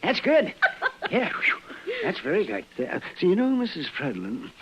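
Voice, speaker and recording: High-pitched, flustered frontier doctor. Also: male voice, huge pitch swings, moderate pace, a bit bright, breathy edge, heavily acted. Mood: delighted, bashful. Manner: flustered, stammering, courtly. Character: fussy, bashful, excitable frontier doctor, sweet on a lady. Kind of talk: 1950s radio western drama acting. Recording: muffled vintage radio recording.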